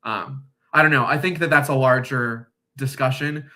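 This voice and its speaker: A man from the United States in a casual discussion, speaking thoughtfully and hesitantly, with many pauses and restarts. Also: mid-range voice, steady tone, sharp tone. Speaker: discussion guest.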